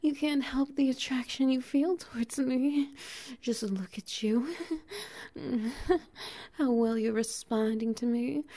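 A doting, dominant bear-woman played by a woman murmurs in a soft, teasing whisper with low breathy laughs. Her voice is mellow and warm with a gravelly fry. She takes her time, drawing every word out slowly. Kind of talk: intimate audio roleplay.

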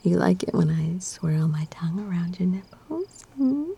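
A woman speaking in a soft, breathy whisper with teasing murmurs, performing an intimate audio roleplay. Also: on the low side, sing-song, moderate pace.

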